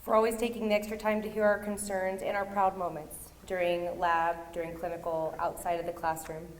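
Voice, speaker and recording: A woman's voice: on the low side, level tone, brisk, slight background noise. Woman reading a sincere thank-you. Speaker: nursing graduate giving a speech. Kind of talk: read thank-you speech.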